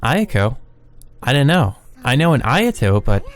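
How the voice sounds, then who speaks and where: A man's voice: medium pitch, big pitch swings, unhurried, soft background music, pitched above the performer's own voice. A man voicing a casual, teasing young woman in a visual novel. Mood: curious, teasing.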